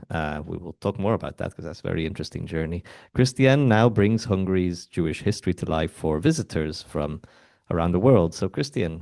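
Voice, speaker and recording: A man's voice: deep voice, gravelly, a little mellow. A man hosting a panel discussion, introducing guests casually and laughing often. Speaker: host.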